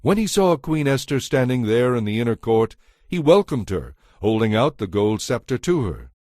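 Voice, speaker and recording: A man's voice: mid-range voice, big pitch swings, moderate pace, darkish tone, no echo, studio quality. A man narrating scripture as a scripted, dramatized story, with a solemn storytelling delivery. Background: music.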